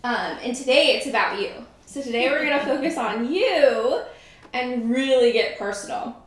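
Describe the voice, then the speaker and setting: Echoey higher-voiced woman hosting. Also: animated. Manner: warm, effusive, halting with fillers. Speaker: podcast host. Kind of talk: casual interview chat. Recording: very echoey room.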